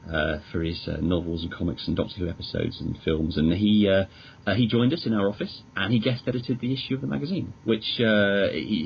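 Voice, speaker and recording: A British man chatting casually as an interview guest, talking in a hesitant, rambling but enthusiastic way. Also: sits low. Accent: British English. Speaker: magazine editor, podcast guest. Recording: dull lo-fi audio with clear noise.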